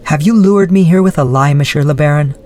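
A man voicing a proud, passionate young noblewoman, speaking high and indignant. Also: fairly quick, darkish tone, natural voice.

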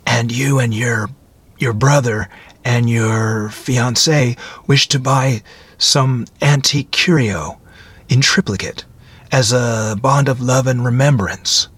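A man playing a courteous, knowing old antique dealer in story dialogue, with a mellow, diffident manner. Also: mid-range voice, takes it slowly, slightly rough. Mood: friendly. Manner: friendly, diffident, chuckling scholarly precision.

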